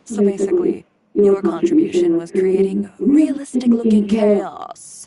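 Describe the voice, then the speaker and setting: A woman's voice: mid-range voice, expressive, a little slow, warm tone, lightly acted. A woman playing a wry, inquisitive young woman in a video game, with a questioning manner. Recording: echoey, distant, music behind.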